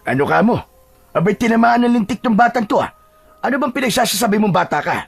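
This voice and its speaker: Man acting a gravelly, scolding father. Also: high-pitched, expressive, quick pace, faint music behind, full character voice. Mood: gruff, scolding. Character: child's gruff father, scolding yet concerned.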